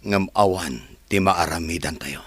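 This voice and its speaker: A man playing a grave, consoling man in a radio drama, with a husky voice. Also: low voice, unhurried, a bit bright, no echo, heavily acted. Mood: solemn. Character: grave middle-aged man, Marshal.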